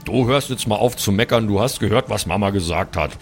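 A man acts out a dutiful adventurer in a fantasy audio play. He reasons earnestly and resignedly, pausing as he thinks, over faint music. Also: on the low side, natural voice. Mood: chiding, resigned. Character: dutiful, studious older-brother adventurer, resigned and practical.

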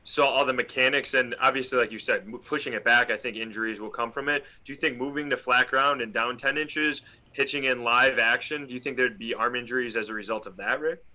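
Very bright-voiced man, podcast interviewer. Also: medium pitch, fairly even intonation, a little fast. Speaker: podcast co-host asking questions. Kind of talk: casual sports interview.

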